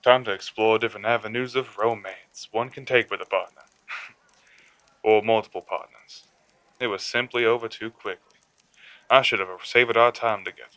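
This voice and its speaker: A man's voice: on the low side, fairly even intonation, moderate pace, sharp tone, no echo. A man playing a sinister, gloating killer who phones a radio show, taunting and theatrical, in a radio drama. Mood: taunting, mock grief. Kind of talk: radio drama villain acting.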